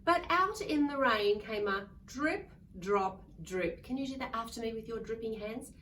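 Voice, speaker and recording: A woman's voice: fairly high pitch, animated, unhurried, a bit bright. A woman reading a picture book aloud to young children in a sing-song way, coaxing them to join in. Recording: distant mic with faint background noise.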